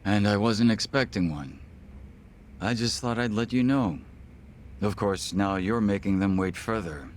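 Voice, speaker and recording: An actor playing a composed, courteous, faintly menacing gang henchman in an English game dub, measured and smug. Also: on the low side, a little hiss or hum, lightly acted. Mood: smooth, restrained. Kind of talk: English video game voice acting.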